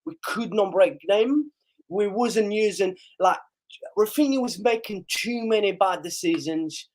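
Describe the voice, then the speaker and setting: A young man hosting a live football reaction stream, excitable and hoarse from losing his voice, urging viewers to like and share. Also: high voice, unhurried.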